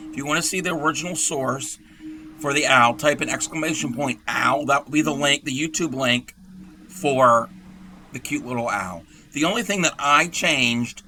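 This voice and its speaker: Man, hoarse and scratchy, bright edgy tone, chatty craft-stream host. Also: medium pitch. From Texas. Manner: chatty and rambling, self-deprecating jokes. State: hoarse, scratchy voice with frequent throat clearing. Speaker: crochet livestream host. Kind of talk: casual craft tutorial while livestreaming.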